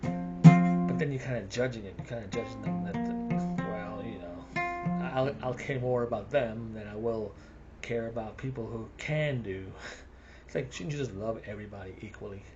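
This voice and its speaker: A man's voice: on the low side, moderate pace, highs cut off. Rambling South African man, guitar behind. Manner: rambling, chuckling between thoughts. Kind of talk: casual vlog monologue.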